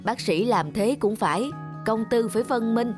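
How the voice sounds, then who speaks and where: A man's voice: high-pitched, moderate pace, music in the background, lightly acted. A man playing a big, good-hearted, self-effacing neighbour in a cartoon. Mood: modest, friendly. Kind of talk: cartoon dubbing.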